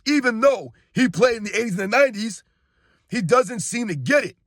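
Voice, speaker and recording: A hoarse man in his thirties, a sports YouTuber delivering a casual, emphatic opinion rant straight to his viewers and calling them guys. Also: very high-pitched, animated, fairly quick, a bit bright, no room sound, studio quality.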